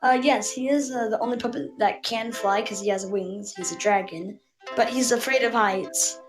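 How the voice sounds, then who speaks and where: A woman speaking casually and haltingly, as if presenting show-and-tell, searching for words. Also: a little high, poor audio. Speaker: puppeteer guest on the show. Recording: music behind the voice.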